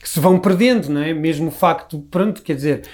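A man's voice: on the high side, fast, a little mellow. A middle-aged man from Lisbon with a Lisbon Portuguese accent, talking as a guest in a casual, reflective conversation full of restarts. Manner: reflective, rambling with many restarts.

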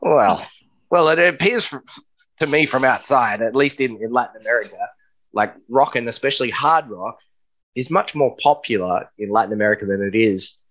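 A man's voice: mid-range voice, moderate pace, fairly bright tone, poor audio. A man hosting a casual interview, often repeating words as he gathers his thoughts. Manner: stammering, repeats words while thinking. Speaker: host.

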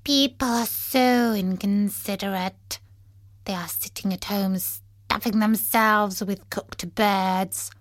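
A woman voicing an indignant, abandoned cat with a drawn-out, complaining manner. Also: medium pitch, fairly even intonation, no echo, close to an everyday voice.

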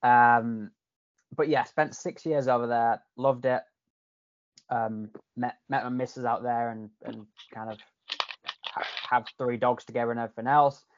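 A man's voice: medium pitch, steady tone, unhurried, dry recording. A man speaks with a Yorkshire accent that has picked up a Canadian tinge along the way. He talks in a loose, chatty way, restarting his sentences and swearing now and then. The recording is dry and a little muffled. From England. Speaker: podcast guest. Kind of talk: casual podcast conversation.

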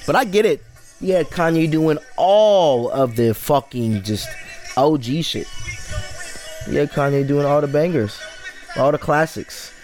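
A man's voice: fairly high pitch, expressive, unhurried, darkish tone, soft background music. A man in his late twenties hosting a solo podcast, rambling through loose, profane casual commentary. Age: 20s. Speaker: podcast host.

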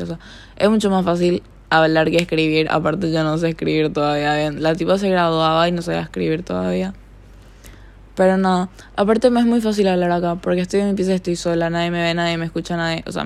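Young Paraguayan woman, podcast host, low voice, vocal fry, rambling casual talk. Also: darkish tone. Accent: Paraguayan Spanish with some Argentine tone. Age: young adult. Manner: rambling, chatty, filler-heavy. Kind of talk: casual solo podcast monologue. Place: her bedroom.

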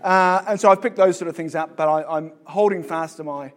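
This is an Australian man in his thirties with a slightly higher voice, telling his life story to an audience. He mixes dry, self-deprecating jokes into his answers, like a guest speaker who is comfortable in front of a crowd. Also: steady tone, brisk. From Sydney, Australia. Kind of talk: live interview and personal testimony to an audience.

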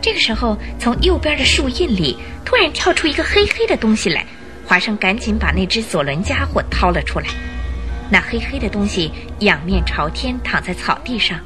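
A woman narrating a radio drama, telling the story in an expressive, suspenseful scripted style. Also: medium pitch, normal speed, music in the background.

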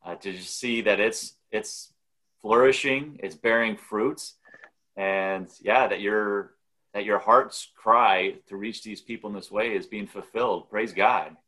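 A male podcast host talks in a measured, encouraging way as he leads an interview. His voice sits a little low for a man. He speaks steadily and warmly to his guest. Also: low-quality recording.

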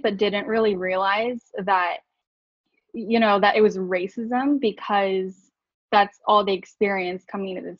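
A woman's voice: mid-range voice, dry recording. A woman co-hosting a podcast, talking candidly and reflectively, with frequent laughs. She grew up in Southern California speaking Mandarin and English. Her voice sounds dry and close, with a slightly dull, lo-fi tone. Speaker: podcast co-host. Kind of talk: casual conversation.

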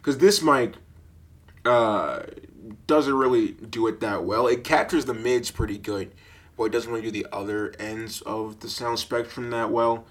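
A young man with a deep voice hosting a casual solo podcast, telling stories in a rambling, animated way, full of fillers and laughter, into a budget mic with a sock pop filter. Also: unhurried. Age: young adult. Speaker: podcast host.

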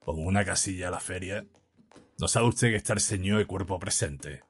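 A man's voice: fairly quick, slightly rough. A man playing a cautious Andalusian working man, speaking colloquially and confidingly to his companion. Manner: colloquial, low confiding talk.

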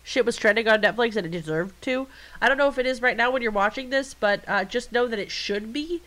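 A woman's voice: a little high, brisk, a bit bright, low-quality recording. Congested chatty woman podcaster. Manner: chatty, jokey, rambling storytelling. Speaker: podcast co-host. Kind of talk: casual chat.

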